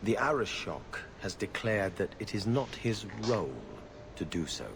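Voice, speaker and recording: A man's voice: lowish voice, lively intonation, moderate pace, natural voice. An actor playing a weary, haughty male court steward in a video game, speaking in a formal, clipped and disdainful way. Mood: grave, disdainful. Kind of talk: scripted video game dialogue.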